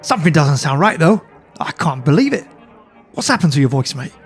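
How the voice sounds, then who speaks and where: A man voicing an excitable young helicopter pilot who talks eagerly and loudly. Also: a little high, a little fast, husky, soft background music. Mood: excited. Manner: boisterous, eager, shouting with excitement.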